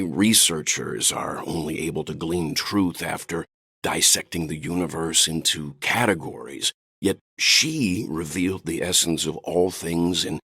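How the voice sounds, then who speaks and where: An actor playing a calm, kindly, seasoned space traveler and mentor in a video game, speaking in a measured, reflective way. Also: male voice, sits low, husky, dry recording, studio quality, full character voice. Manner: measured, reflective, gently avuncular. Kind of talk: scripted game character voice lines.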